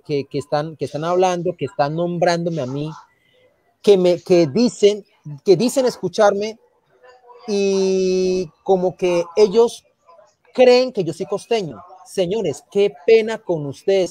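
A man with a Santander Colombian accent speaks in a fairly high voice on a live online panel show. He rambles, repeats and restarts words, and gets animated and indignant when he makes his case. The sound is dry, with no room echo. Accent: Santander Colombian Spanish, from Barrancabermeja. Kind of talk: casual live online talk.

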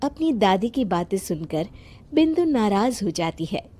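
A woman narrating a moral cartoon story in a plain, even storytelling manner. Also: mid-range voice, a little slow.

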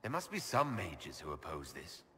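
A man's voice: a bass voice, huge pitch swings, normal speed, pushed and bright, long reverb tail, a little hiss or hum, exaggerated voice. An actor playing an earnest male elf leader who asks direct, skeptical questions. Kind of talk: video game dialogue.

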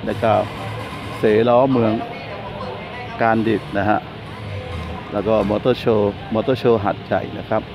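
Chatty Thai man filming a car meet. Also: fairly low pitch. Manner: chatty, adds polite "na khrap" to nearly every line. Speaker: car show vlogger. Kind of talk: walkaround commentary at a car meet. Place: outdoor car meet at a shopping mall.

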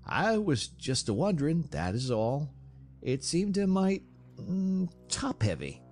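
A man's voice: fairly low pitch, big pitch swings, a little slow, a little mellow. A man voicing a plain-spoken, wry frontier onlooker in an audiobook, with a blunt, colloquial manner. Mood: mild, doubtful.

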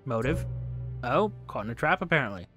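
A man's voice: mid-range voice, big pitch swings, fairly quick, pushed and bright, soft background music. A man hosting a game playthrough, reading text aloud and commenting in an articulate, dryly understated way. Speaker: gaming video host.

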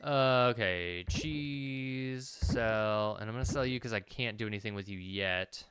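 A man's voice: on the low side, a little slow, fairly bright tone, clear, resonant tone, dry recording. A man in his thirties giving casual gameplay commentary, talking to himself in a self-deprecating way. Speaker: let's play gamer. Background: faint game music.

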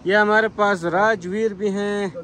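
A man's voice: high voice, steady tone, a little slow, a bit bright. A man, vlogging outdoors by a river in an enthusiastic, chatty way, full of fillers. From Pakistan. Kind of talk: outdoor vlog narration.

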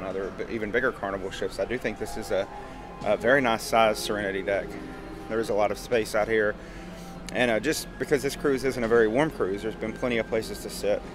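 A man narrating a walking tour of a cruise ship, explaining as he goes with frequent fillers. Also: on the low side. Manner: friendly explanatory, many "uh" fillers. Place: outdoor and indoor decks of a cruise ship.

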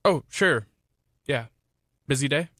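A man's voice: medium pitch, lively intonation, takes it slowly, pushed and bright, dry recording, clean studio sound, full character voice. A young man acting in a radio play as an earnest, affectionate but wounded boyfriend, speaking plainly. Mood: awkward, gentle. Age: young adult. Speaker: student actor.

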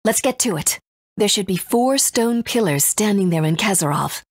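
A woman's voice: mid-range voice, animated, normal speed, breathy edge, no echo, studio quality. A woman playing a composed, authoritative guide in a video game, serious and explanatory. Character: composed, authoritative woman guiding young heroes.

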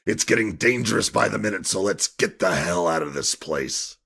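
A very deep male voice with a heavy gravelly rasp , acted by a man. He is a gruff, wary sceptic from an audio drama, grumbling bluntly and sarcastically. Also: animated, normal speed, a bit bright, full character voice. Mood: angry, urgent. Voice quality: gravelly, husky.

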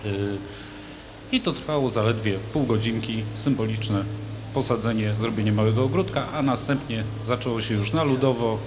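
A man's voice: mid-range voice, moderate pace, background noise. A man in his thirties, a studio guest, speaks casually with many fillers and restarts about a local event, holding a handmade microphone. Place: large TV studio with a glass roof. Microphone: handheld mic.

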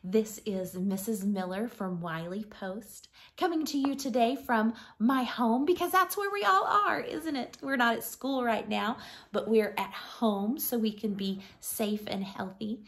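A woman in her thirties reading a picture book to children like a schoolteacher, gently and expressively, softening to a breathy hush at line ends. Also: a little high, a little slow. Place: small living room at home.

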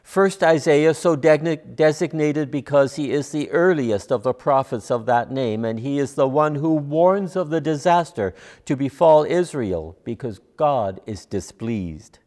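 Slow-speaking older man preaching in reverberant church. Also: fairly high pitch, lively intonation, darkish tone. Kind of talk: sermon, scripted and measured. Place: large church sanctuary, at a lectern. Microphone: lapel mic.

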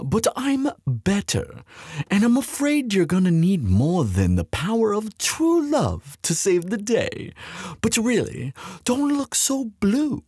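A smug, mocking kidnapper acted by a woman in an audio roleplay. The voice is very husky and breathy, takes its time, and swings widely in pitch as it taunts, recorded close and dry. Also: dark tone, dry recording, heavily acted. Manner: mocking, drawling.

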